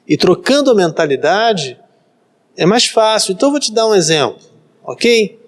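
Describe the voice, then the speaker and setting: A man's voice: high-pitched, big pitch swings, normal speed. A Brazilian man in his thirties, a pastor giving a practical sermon and repeating phrases for emphasis. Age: 30s. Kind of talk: sermon, practical life advice.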